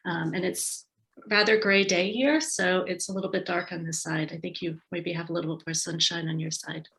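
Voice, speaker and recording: American woman, tourism advisor, webinar presenter, low voice with vocal fry, casual delivery. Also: steady tone, normal speed, a bit bright. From United States. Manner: friendly, loose delivery with frequent ums and restarts. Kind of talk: conversational online presentation. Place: indoors at home base in a city. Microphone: video call.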